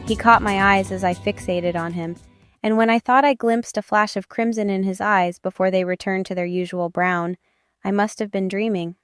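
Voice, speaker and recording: A woman giving dramatic first-person narration of a romance audiobook. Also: mid-range voice.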